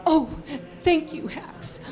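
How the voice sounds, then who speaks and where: A woman's voice: extremely high pitch, takes it slowly, dark tone. An actress playing a keen, polite woman inventor in an audio drama, earnest and formal. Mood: polite, pleased. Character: keen, polite female inventor of stage effects.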